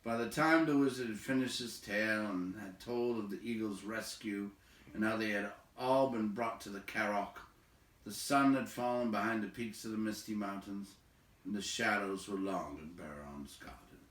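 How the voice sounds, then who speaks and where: A man reading a story aloud in an expressive narrating style. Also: on the low side, moderate pace. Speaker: story reader. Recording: roomy echo, distant from mic.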